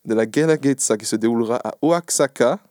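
A man's voice: mid-range voice, a little fast, a little mellow, dry recording, clean studio sound. A man giving his events segment on a casual radio show, laughing often and tripping over his words.

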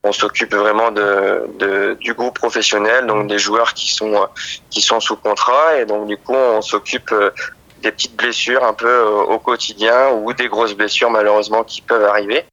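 A male physiotherapist gives unscripted interview answers. His voice is lowish but sharp and forward, and the recording sounds dull and lo-fi. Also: little pitch movement, normal speed. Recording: dull, band-limited interview audio.

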